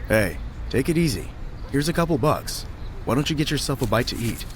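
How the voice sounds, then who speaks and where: Man playing a calm, kindly father, mid-pitched. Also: a little fast, some chatter behind, slight background noise, close to an everyday voice. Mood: calm, placating. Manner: placating, mild.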